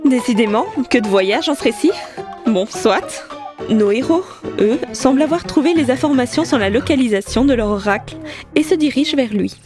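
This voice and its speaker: A woman's voice: on the high side, lively intonation, normal speed, music in the background, close to an everyday voice. A woman playing a wry, teasing storyteller, narrating a scripted audio comedy adventure theatrically.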